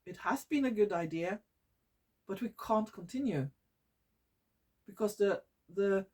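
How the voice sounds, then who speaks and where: A woman's voice: mid-range voice, animated, unhurried, darkish tone, husky. Norwegian woman vlogging, hesitant, many fillers. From Norway. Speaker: environmental vlogger. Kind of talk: casual talk to camera. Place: living room at home.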